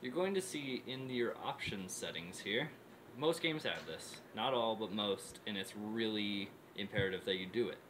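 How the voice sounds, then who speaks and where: A man's voice: lowish voice, lively intonation, moderate pace. Man giving a casual tutorial, noisy recording. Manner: instructional, repeats steps for emphasis. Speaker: presenter of a gaming headset setup tutorial. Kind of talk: casual unscripted tutorial talk.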